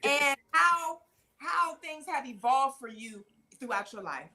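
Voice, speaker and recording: A woman talk show host with a high, bright voice. She talks straight to her audience in an emphatic, conversational way, often repeating phrases for weight. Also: expressive, slow.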